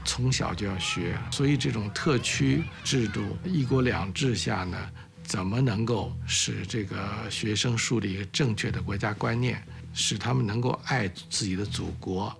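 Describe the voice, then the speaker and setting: A man's voice: lowish voice, moderate pace, gravelly. A man, an educator, speaking earnestly and firmly in an interview.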